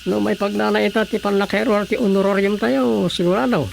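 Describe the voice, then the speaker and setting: A man's voice: high-pitched, fairly even intonation, a little fast, vocal fry, a little hiss or hum. A man with an Ilocano accent playing a flustered, easily startled village councilman in a Filipino radio comedy. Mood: puzzled, chatty.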